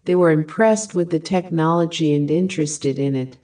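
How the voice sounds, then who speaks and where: A woman's voice: sits low, normal speed, mellow. A woman with a British accent reading practice sentences aloud for a language lesson, repeating each one and breaking some into short chunks. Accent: British English. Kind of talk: slow, clearly read phrase drills.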